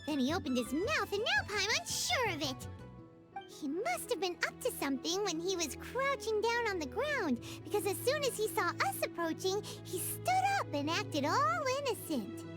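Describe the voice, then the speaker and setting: A woman voicing a small floating fairy girl companion in a video game, excitable and chattering. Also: very high voice, moderate pace, music in the background. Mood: suspicious. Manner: excitable, chattering, talks about herself by name.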